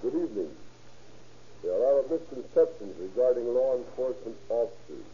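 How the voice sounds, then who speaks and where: A man with a very dark, subdued tone reads a formal address like an official. His delivery is stiff and a little stumbling, at a middling pitch. The old radio recording is muffled. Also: animated, moderate pace. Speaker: police official giving an address. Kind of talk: formal scripted radio speech. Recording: muffled vintage radio, faint noise.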